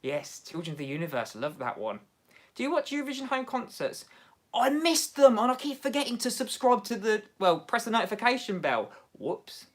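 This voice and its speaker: A man with an English Midlands accent hosting a casual livestream from home, chatting excitably and laughing often as he answers viewers. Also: high-pitched, moderate pace. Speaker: livestream host. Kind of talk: casual livestream chat reading viewer comments.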